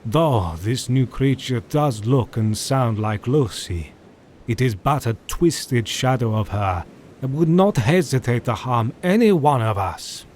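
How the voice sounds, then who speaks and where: An actor playing a grave older doctor and mentor in an audio drama, speaking formally and deliberately. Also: male voice, mid-range voice, lively intonation, darkish tone, a little hiss or hum, natural voice. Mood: grave, urgent. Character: grave older male doctor and mentor, solemn vampire hunter.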